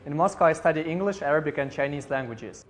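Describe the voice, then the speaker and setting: A man from Russia introduces himself simply and directly. His voice is on the higher side, and he speaks as a language student. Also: lively intonation, fast talker, soft background music. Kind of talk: self-introduction.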